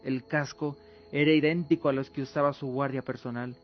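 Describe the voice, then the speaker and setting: A man's voice: medium pitch, steady tone, fairly quick. A man narrating a scripted fantasy radio drama as a dramatic storyteller. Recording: dull lo-fi with music underneath. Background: music.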